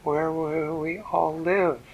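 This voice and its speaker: A man voicing a small, young girl fairy in a bedtime story, in a lifted, breathy voice. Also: a little high, flat delivery, slow, lo-fi sound, slight background noise, voice pushed up. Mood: eager.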